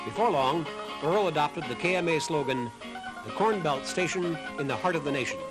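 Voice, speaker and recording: A man's voice: fairly high pitch, moderate pace, over music. A man reading scripted documentary narration as the narrator of a history program.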